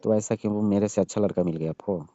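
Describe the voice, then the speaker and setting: Young man, deep voice, pleading, insistent, emotional phone talk, muffled dry call recording. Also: steady tone, fast, dark tone, dry recording. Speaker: caller, jilted lover. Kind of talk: casual emotional phone conversation.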